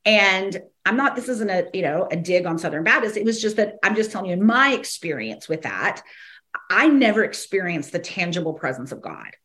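Slightly hoarse woman, rambling podcast teaching. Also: mid-range voice, sing-song, brisk, fairly bright tone. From American South. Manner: rambling, frequent fillers and restarts, laughs between thoughts. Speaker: podcast host and ministry teacher. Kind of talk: casual spoken teaching podcast.

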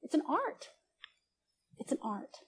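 A woman in her forties giving a casual, conversational sermon at a church service, animated, often laughing and restarting her sentences. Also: takes it slowly, a little hiss or hum. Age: 40s. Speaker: preacher.

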